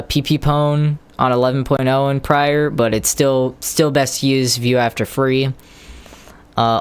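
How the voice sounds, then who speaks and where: A man's voice: medium pitch, clear, resonant tone. A man casually rambling on a live stream, hesitating with lots of ums and restarts while explaining tech steps to viewers.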